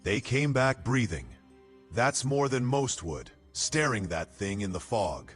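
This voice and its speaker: Man acting a wry, animated fantasy soldier. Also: medium pitch, unhurried, husky, soft background music. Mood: grave. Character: cautious, wry, level-headed male soldier, the schemer's voice of reason. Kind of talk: dramatic fantasy audio drama acting.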